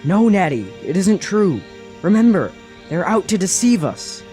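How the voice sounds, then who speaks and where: A fairly high, very animated young male voice , acted by a man in a fantasy audio drama. He is a cautious, protective traveler who speaks formally and with great earnestness. Faint music sits underneath. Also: a little slow. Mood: urgent, pleading.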